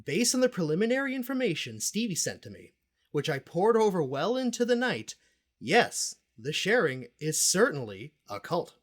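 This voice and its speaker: An actor playing a self-important cult expert and investigator in an audio drama, speaking in a pompous, dramatic way with deliberate pauses. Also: medium pitch, sing-song. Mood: smug, theatrical. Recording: music playing under the voice.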